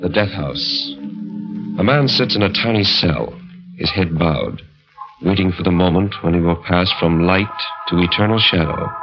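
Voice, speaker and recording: A man narrating 1940s radio horror as announcer, with an ominous, theatrical and deliberate delivery. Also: deep voice, lively intonation. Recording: muffled vintage radio recording with music.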